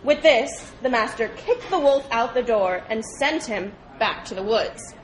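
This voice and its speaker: A woman's voice: a little high, expressive, measured pace, slight background noise, low-quality recording. A woman reading scripted animal fables aloud as a storyteller at a public program.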